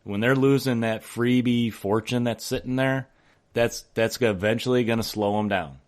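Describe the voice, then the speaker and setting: A man's voice: medium pitch, normal speed. A man hosting a tabletop gaming podcast in casual chat, rambling and often trailing off mid-sentence. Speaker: podcast host.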